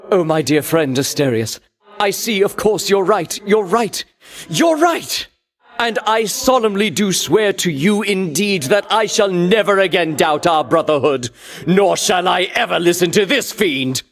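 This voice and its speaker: An actor playing a pompous, vainglorious ancient Greek champion in a video game, speaking in haughty, grandiloquent, archaic phrases with theatrical bombast. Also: male voice, high-pitched, a bit bright, exaggerated voice. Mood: pleading, earnest. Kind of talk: theatrical video game villain-rival dialogue.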